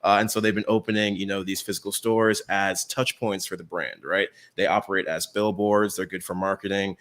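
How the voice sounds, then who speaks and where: Man, deep voice, conversational presenter, chatty, explanatory, casual delivery. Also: flat delivery, fairly quick, a bit bright. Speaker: co-host presenting retail trends.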